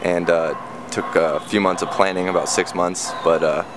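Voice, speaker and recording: A man in his twenties from California, answering interview questions with focused, plain speech and filler pauses. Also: low voice, fairly even intonation, noisy background. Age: 20s.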